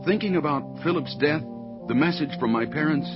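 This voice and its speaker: A man's voice: on the high side, normal speed, close to an everyday voice. A man playing an earnest, troubled military defector, intense, in a science fiction radio drama. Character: earnest, troubled male officer defecting to rebels. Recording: dull lo-fi audio with music behind.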